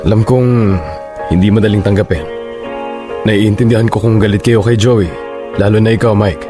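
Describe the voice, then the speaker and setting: A Filipino father acted by a man keeps the peace in a radio drama. His voice is low and measured, apologetic in manner, with music clearly playing behind. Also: fairly even intonation, lightly acted. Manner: conciliatory, measured.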